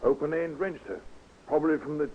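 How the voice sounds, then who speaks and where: An actor playing a deferential village police constable in an old-time radio drama, on a scratchy, tinny recording. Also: male voice, on the high side, fairly quick, natural voice. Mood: careful, deferential.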